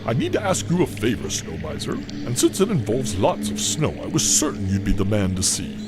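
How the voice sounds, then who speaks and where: Melodramatic old Santa Claus, acted by a man, very animated. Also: lowish voice, a little fast, over loud music. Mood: earnest pleading. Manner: theatrical, earnest. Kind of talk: comic audio play acting. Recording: clearly noisy background.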